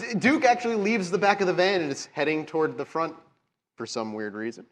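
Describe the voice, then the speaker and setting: A man's voice: a little high, brisk. A man narrating a live tabletop roleplaying game as the game master.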